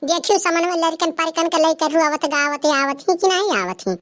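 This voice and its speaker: A woman voicing an excitable Awadhi-speaking village woman in a cartoon comedy, emphatic and repetitive as she pleads to be believed. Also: very high-pitched, moderate pace. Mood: vindicated. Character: excitable village woman bringing gossip to her elder sister.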